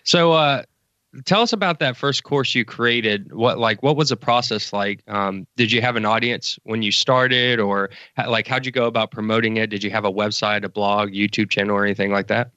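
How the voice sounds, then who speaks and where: A man hosting an interview, giving short encouraging responses and asking relaxed questions. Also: lowish voice, normal speed, no echo, lo-fi sound. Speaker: interview host.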